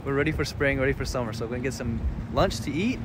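A man in his thirties talks upbeat and chatty to his viewers, pointing out the sights. He films himself on a phone held at arm's length on a sunny waterfront deck. Steady outdoor noise sits clearly under his voice. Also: medium pitch, fast talker. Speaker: vlogger. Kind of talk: casual travel vlog. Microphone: phone camera held at arm's length.

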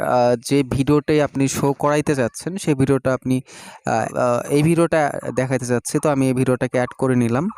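A young man hosting a screen-recorded phone app tutorial, talking slowly and hesitantly with drawn-out fillers. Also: mid-range voice, darkish tone, a little hiss or hum. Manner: hesitant, many drawn-out fillers while clicking. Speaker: tech tutorial host.

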